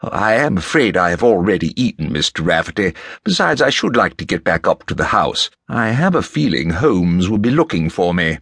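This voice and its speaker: A man voicing a reserved, earnest gentleman doctor with formal, polite speech, in an audiobook. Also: sits low, very animated, normal speed, vocal fry, hardly any pauses, breathy edge, dry recording, clean studio sound, heavily acted. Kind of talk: audiobook character dialogue.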